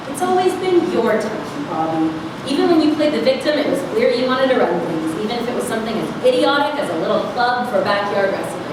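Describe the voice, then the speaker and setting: Woman acting a scornful teenage girl, echoey and distant. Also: on the high side. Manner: sarcastic, long biting speeches. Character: Josephine, scornful, bitter, eloquent teenage girl. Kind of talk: staged script reading. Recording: distant echoey room, steady background noise.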